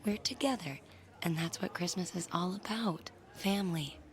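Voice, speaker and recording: A woman's voice: fairly low pitch, moderate pace, lightly acted. An actress playing a strict, wary, exasperated mother in a radio drama, clipped and scolding.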